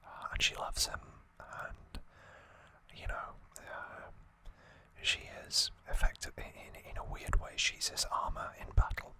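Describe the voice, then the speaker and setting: An ASMR host telling a story in a breathy, unvoiced whisper, gentle, unhurried and informal.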